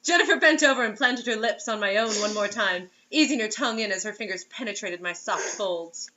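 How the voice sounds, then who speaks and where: Giggly, bright-voiced woman reading fiction aloud. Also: medium pitch, brisk, highs cut off. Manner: giggly, breaking off into incredulous asides. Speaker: reader and co-host mocking bad fiction.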